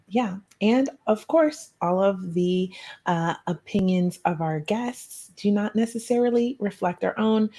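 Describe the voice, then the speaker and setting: A woman co-hosting a talk podcast, effusive and chatty with frequent ums. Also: fairly low pitch. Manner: warm, effusive, uses fillers like um. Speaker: podcast co-host. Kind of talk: casual chat.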